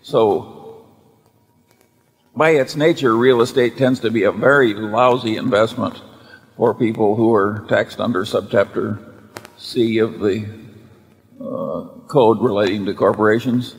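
A man's voice: fairly low pitch, measured pace, pauses often. An older man on stage at a table giving a blunt, matter-of-fact answer. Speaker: company vice chairman. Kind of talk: unscripted answer at shareholder meeting. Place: large hall on stage at table. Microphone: table mic. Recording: long hall reverb.

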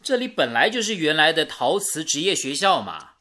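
An actor playing a principled, sensible young radio engineer in a radio drama, explaining things earnestly. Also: male voice, a little high, sing-song, normal speed, sharp tone. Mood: defensive, reasonable.

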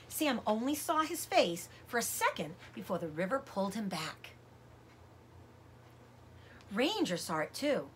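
A middle-aged woman reading a children's novel aloud as a teacher does, with expressive narration. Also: fairly high pitch, a little hiss or hum. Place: indoors, room with bookshelves.

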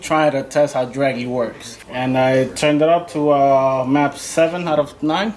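A man's voice: medium pitch, fairly even intonation, measured pace, a crowd in the background. A man who built the car gives plain, brief, matter-of-fact answers in casual conversation. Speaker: car owner and builder. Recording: loud engine noise behind. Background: car engine noise.

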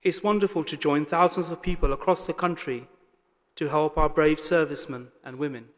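A middle-aged man giving a formal closing address at a podium in a large hall, measured and thankful. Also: fairly high pitch, fairly even intonation. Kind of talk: formal speech. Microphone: podium microphone. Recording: long hall reverb.

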